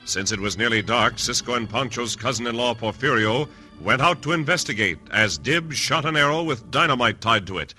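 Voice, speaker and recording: A man's voice: mid-range voice, expressive, brisk. An American man narrating a radio western in a dramatic, scripted old-time announcer style. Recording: old radio broadcast recording. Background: loud music.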